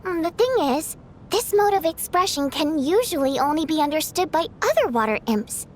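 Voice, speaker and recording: A woman voicing a playful female water imp stage performer in a game, lively and teasing. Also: sits high, slight background noise. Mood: curious. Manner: lively, teasing, quick to protest. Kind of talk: video game voice acting.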